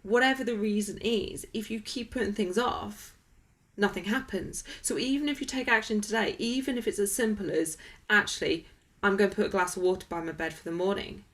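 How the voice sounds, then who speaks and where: A woman's voice: medium pitch, a little fast. A woman being interviewed as a wellness coach, talking conversationally in an emphatic, motivational way with everyday examples.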